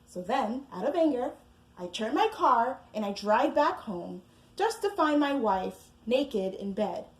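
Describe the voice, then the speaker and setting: A woman's voice: on the high side, big pitch swings, unhurried, echoey room. A woman playing an indignant wronged husband in a comic story, aggrieved and emphatic.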